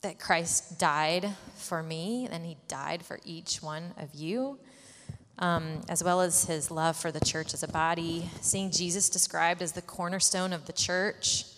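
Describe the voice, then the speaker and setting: A woman sharing an earnest church testimony and reading scripture, reflective and pausing with fillers. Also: lowish voice, steady tone, a bit bright. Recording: echoey hall.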